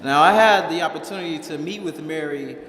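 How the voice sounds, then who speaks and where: A man's voice: fairly high pitch, a little fast, a bit bright. A man hosting a live event, introducing speakers in an informal, emphatic way and repeating words for effect. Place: large echoey hall, live event. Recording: echoey hall with background noise.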